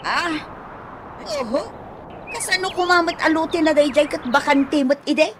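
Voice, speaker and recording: Woman playing very high, flustered village gossip. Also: normal speed, fairly bright tone, a little hiss or hum. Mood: pleading. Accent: Ilocano. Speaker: voice actor in radio comedy drama. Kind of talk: comedy radio drama acting.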